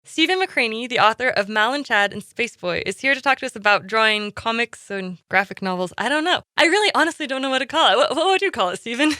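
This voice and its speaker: A woman's voice: fairly high pitch, lively intonation, fast, few pauses, bright, forceful tone, no echo, clean studio sound. A woman hosting a podcast, interviewing a guest in a conversational style.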